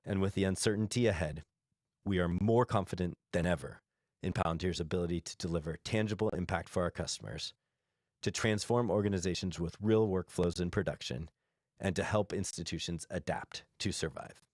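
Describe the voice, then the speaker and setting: Man, low voice, company executive, scripted earnings presentation, formal measured delivery, dry sound. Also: a little mellow, no room sound.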